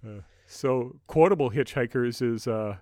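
Male podcast host, medium pitch, measured conversational delivery with pauses, very little room sound. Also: a little mellow, dry recording, clean studio sound. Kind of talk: podcast discussion.

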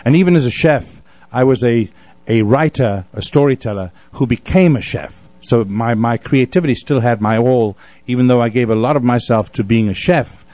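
A man's voice: medium pitch, moderate pace, a little mellow, no echo. A man hosting a casual radio interview, chatting in a wordy, effusive storyteller's way. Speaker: radio show host. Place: radio studio.

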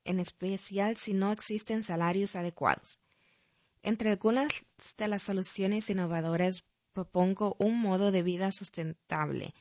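The woman you would hear reading an official printed guide aloud for an audio edition, formal and measured, in a small dead-sounding booth with slightly dull sound. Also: mid-range voice, a little mellow, dry recording. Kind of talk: scripted narration, read-aloud questionnaire.

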